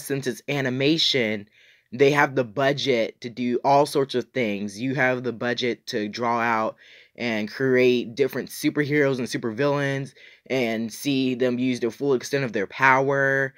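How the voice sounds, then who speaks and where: A man's voice: mid-range voice, a bit bright. A man talking casually to viewers as an enthusiastic, opinionated reviewer who leans on emphatic repetition. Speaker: YouTube reviewer, host.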